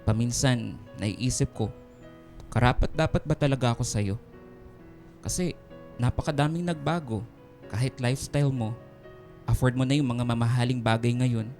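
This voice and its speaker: An actor playing an insecure, devoted young boyfriend in a radio drama, speaking earnestly and hesitantly. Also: male voice, medium pitch, normal speed, pauses often, darkish tone, natural voice. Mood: insecure, downcast. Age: young adult. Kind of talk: Filipino radio drama dialogue. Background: background music.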